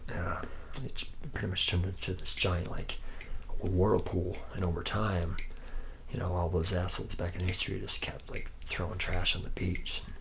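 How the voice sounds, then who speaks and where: A man's voice: sits low, creaky, noisy background. A man playing a laid-back, boozy, womanizing factory worker from the future in an ASMR roleplay, rambling slangily and often dropping into a whisper. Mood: wry rambling.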